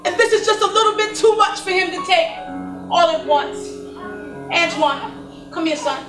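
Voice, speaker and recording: A woman's voice: very high voice, moderate pace. A woman playing a forgiving middle-aged pastor's wife in a gospel stage play, soothing and earnest. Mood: pleading calm. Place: indoor stage set, picked up from afar. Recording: distant roomy stage recording under loud music.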